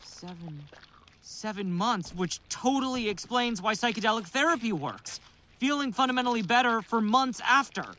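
A man's voice: extremely high pitch, lively intonation, normal speed, bright, forceful tone, a little hiss or hum, heavily acted. A man playing a drug researcher who is losing control of his mind, stammering and pleading in an audio drama. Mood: absorbed, dictating. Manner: stammering, panicky, breathless pleading. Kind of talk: audio fiction acting.

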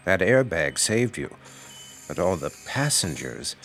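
An actor playing a solemn small-town pastor with a measured, preachy, paternal manner in an audio drama. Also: male voice, deep voice, very animated, fairly bright tone, exaggerated voice. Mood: calm, paternal. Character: solemn, slow-talking pious small-town male pastor.

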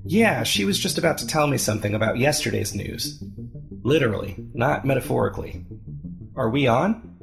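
A man's voice: mid-range voice, expressive, normal speed, vocal fry, music in the background. A man playing an earnest, awkward investigator who narrates his own story in a casual audio drama. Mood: sheepish. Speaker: podcast host and narrator. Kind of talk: first-person audio drama narration and dialogue.

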